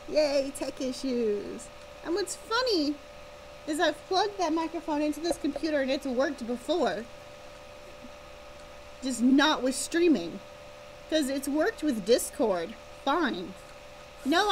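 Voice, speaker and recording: Female livestreamer, high voice, casual and wryly exasperated, faint fan buzz on a laptop mic. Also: expressive, unhurried, long pauses, a little hiss or hum, poor audio. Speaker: live streamer, author. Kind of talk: casual chat with livestream viewers. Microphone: laptop's built-in or connected microphone.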